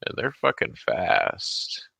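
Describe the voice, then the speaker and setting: A man's voice: slow, bright, forceful tone, no echo. A man chatting casually as a guest on a show, blunt and swearing freely. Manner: blunt, heavily profane. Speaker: guest on a livestream talk show. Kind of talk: casual chat.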